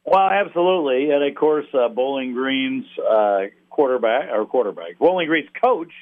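Male sports broadcaster guesting by phone, thin narrow-band line, analytical, halting delivery with mid-thought pauses. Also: medium pitch, expressive. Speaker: radio play-by-play announcer, interview guest. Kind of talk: sports interview, analytical talk.

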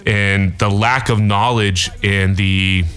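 A man who talks in a relaxed way, using laid-back slang in a casual podcast chat. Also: lowish voice, slow.

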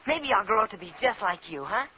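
A man's voice: high-pitched, fast talker, phone-quality sound. A young male actor playing an eager, cocky teenage boy who idolises his crook father, in a 1950s radio crime drama. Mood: admiring, excited. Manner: excitable, boastful, slangy. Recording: hissy, band-limited old radio broadcast.

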